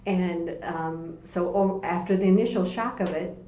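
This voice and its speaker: A woman in her sixties tells her story in a gentle, thoughtful way, with a fairly low, warm voice. She laughs easily. The recording has an echoey room sound with faint background noise. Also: a little slow. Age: 60s. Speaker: interview guest. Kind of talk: personal testimony in conversation.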